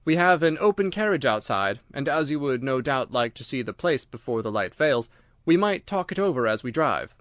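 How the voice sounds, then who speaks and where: A man voicing an earnest, confident police inspector in an audiobook, courteous and formal, with a brisk, assertive manner. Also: medium pitch, very animated, a bit bright, no room sound, clean studio sound. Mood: eager, courteous. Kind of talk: audiobook character dialogue.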